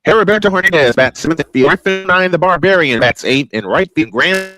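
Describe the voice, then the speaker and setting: A man's voice: a little high, lively intonation, brisk, pushed and bright, faint music behind. A man doing old-school baseball play-by-play commentary as the host of a broadcast.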